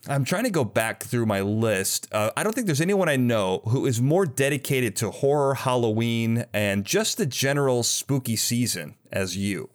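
Man, animated intonation, mid-pitched voice, effusive podcast host, theatrical flourishes, chatty interview style. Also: normal speed, few pauses. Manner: effusive, theatrical list-like delivery in introductions. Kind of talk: upbeat conversational interview show.

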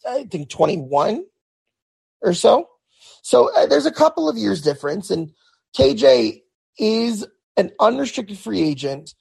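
Hoarse, gravelly man on a podcast. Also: sits high. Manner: conversational, repeats words and restarts often. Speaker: podcast host. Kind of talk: solo sports podcast commentary.

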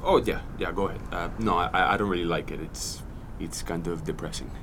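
A man's voice: low-pitched, a little fast. An actor playing a weary, responsible expat man in a naturalistic audio drama. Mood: tired, downbeat. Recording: dialogue amid music and crowd noise.

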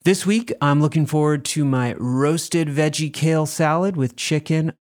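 A man's voice: on the high side, a little slow, a little mellow. A man, the creator of an audio show, reading a scripted sponsor message in a conversational podcast style.

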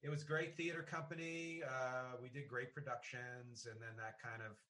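A man's voice: medium pitch, flat delivery, normal speed, pushed and bright. An American man hosting a casual interview, rambling and often cutting into his own sentences. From South Jersey, United States. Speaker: podcast host and interviewer.

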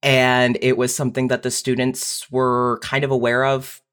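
A young adult speaking casually and candidly, telling personal stories in a halting way with frequent repeated words. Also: mid-range voice, completely flat delivery, a bit bright, clean tone. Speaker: podcast guest writer.

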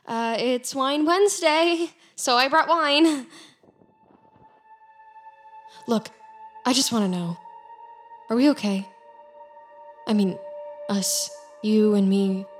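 A woman's voice: a little high, slow, pauses often. A woman acting in audio fiction as an anxious, guilt-ridden, obsessive office worker who rambles hesitantly and apologetically. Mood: apologetic, pleading. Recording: music bed under the voice.

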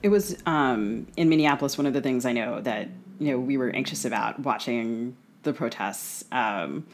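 A woman's voice: extremely low pitch, moderate pace, slight background noise. American woman, podcast co-host, conversational, discursive, frequent asides.

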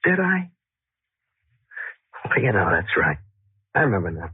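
An actor playing a volatile, manipulative ex-boyfriend in a 1940s radio drama, slangy and swinging between coaxing and bullying. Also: male voice, low-pitched, very animated, measured pace, slightly rough, no echo, heavily acted. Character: volatile, manipulative male ex-boyfriend, wheedling then menacing. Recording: tinny, muffled old 1940s radio recording.

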